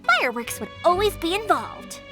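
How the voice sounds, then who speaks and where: An actress playing a cheerful, easygoing young fireworks maker in a video game, talking in a chatty, casual, breezy way. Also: female voice, extremely high pitch, wildly swooping pitch, moderate pace, over music, full character voice. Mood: chatty storytelling.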